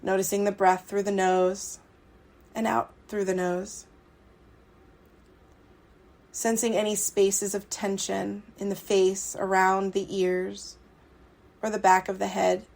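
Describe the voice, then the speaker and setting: A woman leading a guided relaxation meditation, speaking soothingly and unhurriedly in short phrases with long pauses. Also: mid-range voice, level tone, creaky, darkish tone. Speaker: meditation guide, yoga teacher. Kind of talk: guided yoga nidra meditation.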